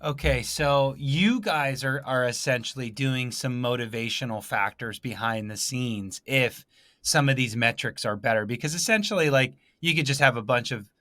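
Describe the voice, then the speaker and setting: A man hosts a casual business interview in a mid-range voice with a dry, close sound. He thinks out loud, circling back and rewording his points in a halting flow. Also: medium pitch, no echo. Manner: halting, repeats and rephrases himself as he thinks aloud. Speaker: podcast host.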